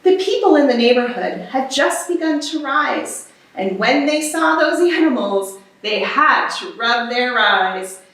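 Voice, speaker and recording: High-pitched woman reading a storybook, very echoey. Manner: animated, deliberate storytelling. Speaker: storybook reader. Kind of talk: expressive read-aloud for children. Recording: very echoey room, distant microphone.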